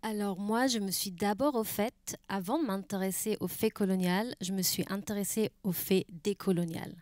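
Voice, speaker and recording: A woman's voice: mid-range voice, moderate pace, a little mellow, dry recording, studio quality. A young woman whose first language is German, speaking as a panelist, searching for words with casual fillers. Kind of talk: panel discussion. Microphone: handheld mic.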